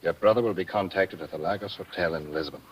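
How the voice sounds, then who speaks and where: An actor playing a shifty, cagey travel agent fronting smugglers, husky-voiced, in a 1950s radio drama. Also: male voice, low-pitched, fast talker, heavy background noise, close to an everyday voice. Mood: cagey, brisk.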